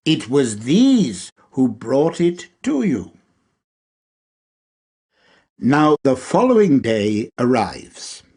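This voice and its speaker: A man reading a spiritual lecture aloud in a deliberate, measured way, with emphatic pauses. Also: fairly high pitch, a little mellow, slightly rough. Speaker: reader.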